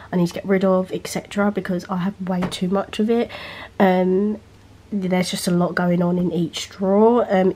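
A woman's voice: mid-range voice, dark tone. Nasal young woman vlogging from her bedroom. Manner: rambling, filler-heavy, chatty. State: nasal, recovering from illness. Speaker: beauty vlogger. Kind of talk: casual chat. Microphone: handheld camera, freehand.

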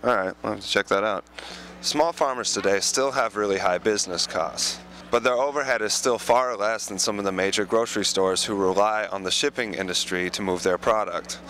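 Deadpan man reporting on a street. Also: lowish voice, brisk, hardly any pauses. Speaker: host reporter. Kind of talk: mock news report vlog. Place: outdoors on a city street. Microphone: handheld mic. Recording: loud street noise behind.

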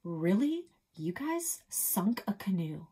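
A woman's voice: medium pitch, very animated, slow pace, mellow, slightly rough, heavily acted. A woman voicing a bossy teenage older sister with a scolding, exasperated manner.

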